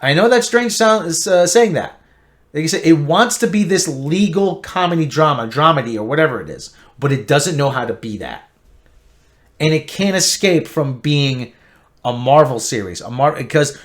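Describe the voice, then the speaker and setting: A man giving an opinionated, emphatic TV review rant, repeating himself and swinging between loud outbursts and low grumbling. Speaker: online pop-culture commentator.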